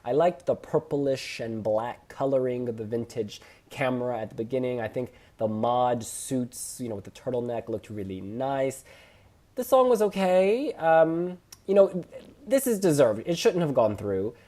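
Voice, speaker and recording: A man hosting a casual review chat, sharing opinions in an expressive way with emphatic phrasing. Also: medium pitch, moderate pace. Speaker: host reviewing song contest entries.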